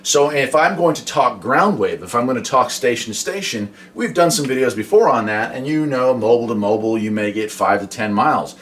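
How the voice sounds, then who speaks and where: American guy, folksy hobbyist host, mid pitched voice, chatty explainer full of rhetorical questions. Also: lively intonation, brisk, hardly any pauses, fairly bright tone. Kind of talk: casual explainer vlog.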